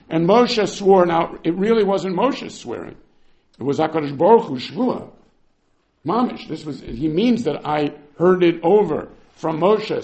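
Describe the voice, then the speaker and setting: A man's voice: a little high, gravelly, darkish tone. A man teaching a Bible text, reading Hebrew verses aloud and then explaining them with emphatic, dramatic swings in delivery. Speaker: Torah teacher. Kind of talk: text-based Bible lecture.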